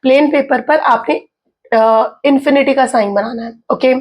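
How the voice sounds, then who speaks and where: A woman tarot reader with an Indian accent, hoarse from a sore throat, chatting casually to viewers and checking in with "okay" as she explains. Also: sits high, moderate pace, darkish tone. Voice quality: husky. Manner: chatty, mixes in English, frequent "okay" and "theek hai" check-ins.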